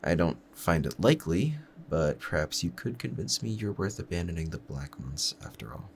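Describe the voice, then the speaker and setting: A man performs a lovestruck assassin with a very deep voice. He speaks formally and gently to the listener, courteous yet quietly dangerous, in an intimate audio roleplay. A faint ambient noise sits behind him. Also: big pitch swings, normal speed, creaky, full character voice. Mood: courteous, persuasive.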